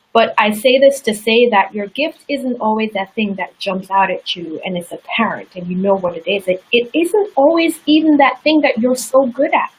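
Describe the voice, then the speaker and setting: A woman's voice: on the high side, breathy edge, low-quality recording. A woman guest in an interview, telling a personal story in a candid, earnest way. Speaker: interview guest, success coach.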